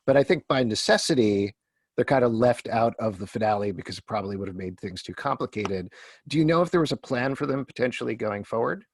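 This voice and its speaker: A man's voice: mid-range voice, animated, fast. A man hosting a casual question-and-answer panel, putting questions to the guests in a conversational way. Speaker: host and moderator. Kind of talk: casual moderated Q&A.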